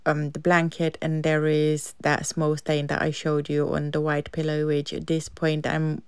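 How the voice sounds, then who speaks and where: Low, gravelly-voiced mum talking candidly. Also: female voice, completely flat delivery, unhurried, no room sound. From United Kingdom. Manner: chatty, candid, talks through her worries. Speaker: vlogger, mum of three. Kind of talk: casual voice-over narration.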